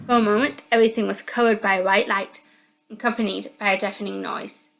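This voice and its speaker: A woman's voice: mid-range voice, fairly even intonation, fairly quick, gravelly, fairly bright tone, rough recording. A woman reading a visual novel aloud as narrator, in dramatic scripted narration. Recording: music under the voice.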